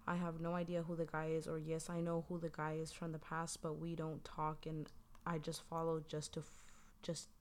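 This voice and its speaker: A woman with a low voice chatting casually as a podcast guest, recounting an earlier conversation. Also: completely flat delivery, normal speed.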